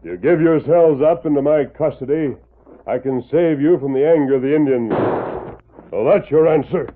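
A man's voice: on the high side, normal speed, close to an everyday voice. A man playing a commanding, upright masked western lawman in a 1940s radio drama. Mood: stern, commanding. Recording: muffled, noisy old radio broadcast.

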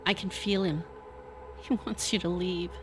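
A woman's voice: on the low side, steady tone, unhurried, soft background music, close to an everyday voice. A woman playing a frightened, haunted character giving warnings in a radio play. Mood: fearful, ominous.

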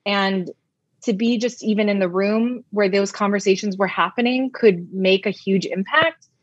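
A woman's voice: medium pitch, normal speed, husky, dry recording, muffled recording. A young woman whose first language is Arabic, giving candid, reflective answers in an interview, often restarting her sentences. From United States (Brooklyn, New York). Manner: reflective, with frequent restarts and soft laughs. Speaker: guest, journalist.

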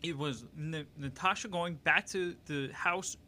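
A man in a relaxed podcast chat with a somewhat high, bright voice. He gets emotional easily and stumbles through repeated words as he restarts his thoughts. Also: a little slow, slight background noise. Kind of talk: casual chat.

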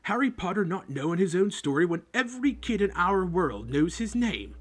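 A man reading an audiobook, voicing a big rough-spoken groundskeeper who talks in homely, clipped speech. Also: medium pitch, lively intonation, normal speed. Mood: outraged. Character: big rough-spoken male groundskeeper, gruff but kind. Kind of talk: audiobook character voice.

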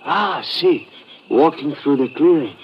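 An actor playing a courteous, alert Spanish-speaking guide and sidekick with formal phrasing in an old radio adventure. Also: male voice, fairly high pitch, lively intonation, measured pace. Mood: watchful. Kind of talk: old-time radio adventure drama acting. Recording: dull, noisy old radio recording.

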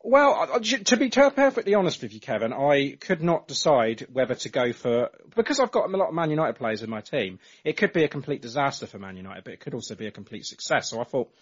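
A man with a slightly husky voice chats along casually, rambling and hedging as he works through his thoughts. The recording is muffled and lo-fi. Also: medium pitch, sing-song, fast talker, few pauses. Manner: chatty, rambling, hesitant. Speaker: podcast host. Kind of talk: casual chat. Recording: dull lo-fi.

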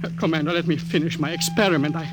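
A man's voice: fairly high pitch, steady tone, racing, very noisy, close to an everyday voice. A man playing an anxious elderly scientist, agitated and stammering, in an old-time radio drama. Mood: pleading, agitated. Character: anxious elderly male scientist, a devoted father. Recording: old radio broadcast with loud music.

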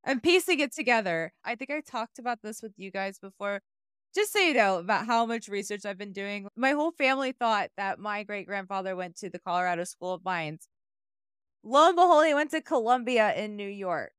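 A young woman hosting a casual podcast chat, swearing freely, excitable and quick to apologize. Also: medium pitch, clear, resonant tone, no room sound, studio quality. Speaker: podcast host presenting research.

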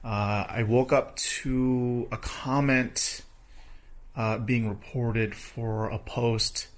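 A man with a hoarse voice talking casually in a vlog, rambling between thoughts. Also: medium pitch, steady tone, very slow. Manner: rambling, with fillers. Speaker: vlogger.

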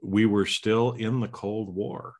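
A man's voice: lowish voice, fairly even intonation, slow, darkish tone. A middle-aged American man hosting an interview, talking loosely with lots of restarts and "right?" tags. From United States. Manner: rambling, many restarts and tag questions. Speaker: podcast host. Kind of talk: casual interview chat.

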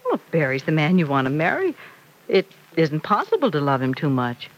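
A woman's voice: sits low, wildly swooping pitch, fairly quick, full character voice, low-quality recording. An American actress playing a fond, teasing middle-aged mother in an old-time radio soap opera, with a coaxing, motherly manner. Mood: coaxing, insistent. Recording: vintage radio transcription.

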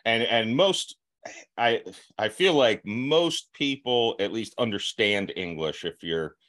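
A man's voice: fairly low pitch, animated, slow pace, fairly bright tone. A man from Florida hosting a podcast, chatting casually in a joking, self-deprecating way and laughing often. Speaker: podcast host. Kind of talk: casual interview chat.

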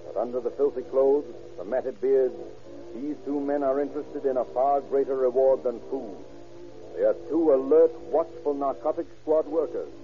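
A male narrator delivers scripted 1930s radio drama with grave formality and dramatic weight. His voice is medium in pitch. The recording is thin and phone-like, with faint music behind.

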